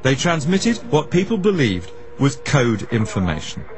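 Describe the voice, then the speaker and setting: A man's voice: mid-range voice, big pitch swings, measured pace, darkish tone. A man presenting a radio documentary, narrating and asking questions in a dramatic storytelling manner.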